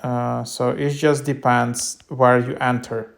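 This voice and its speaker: Man giving a casual, even-toned app tutorial. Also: medium pitch, slow pace, gravelly. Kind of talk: casual unscripted tutorial narration.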